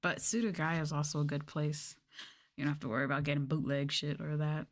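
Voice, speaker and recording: A woman streamer chatting casually in a soft-spoken ASMR style, rambling and swearing as she goes. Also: low voice, steady tone, fairly quick. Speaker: VTuber streamer.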